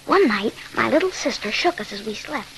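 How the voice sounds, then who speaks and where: Earnest small boy, high voice, animated storytelling, fearful, old radio drama, faint hiss. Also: brisk. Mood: grave, suspenseful. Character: earnest young boy recounting a fearful childhood. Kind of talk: 1940s radio horror narration and acting. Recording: slightly noisy 1940s radio recording.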